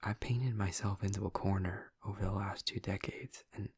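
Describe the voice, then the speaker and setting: Guy doing a soft flirty vampire for audio rp, gravelly fry, dry close sound, earnest and hesitant. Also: on the low side, steady tone, no echo. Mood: earnest, vulnerable. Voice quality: breathy, gravelly murmur. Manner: soft, hesitant, halting, reassuring. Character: gentle, flirty male vampire, tender and earnest. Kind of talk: intimate audio roleplay addressed to the listener.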